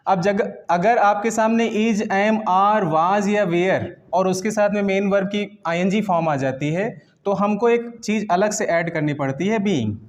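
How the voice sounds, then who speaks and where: A man's voice: high-pitched, unhurried, few pauses. A man teaching an English grammar lesson, explaining step by step and repeating key points in a didactic way.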